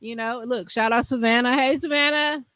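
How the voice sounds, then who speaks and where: A woman's voice: fairly high pitch, fairly even intonation, normal speed, fairly bright tone, dry recording. Bubbly woman podcast host. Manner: bubbly, laughing often. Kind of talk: casual chat.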